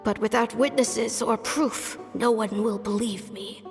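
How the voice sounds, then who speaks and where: Slow, weary, ailing witch doctor. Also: on the high side, soft background music. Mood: resigned. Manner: slow, sighing, resigned. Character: weary, ailing old witch doctor woman, stubborn and self-mocking. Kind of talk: video game voice acting. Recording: long reverb like a flashback.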